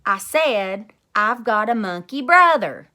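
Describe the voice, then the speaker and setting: A woman voicing a bossy, excitable kindergarten girl in a storybook, emphatic and childishly worded. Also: medium pitch, slow, exaggerated voice. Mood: excited, insistent. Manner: childish grammar, emphatic, blurting.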